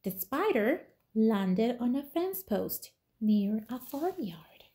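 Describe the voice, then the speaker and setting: A young woman with a high voice reads a picture book aloud for toddlers. Her delivery is very slow and deliberate, with animated, sing-song swings in pitch, as a teacher speaks to small children. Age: young adult. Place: small living room, indoors on a couch.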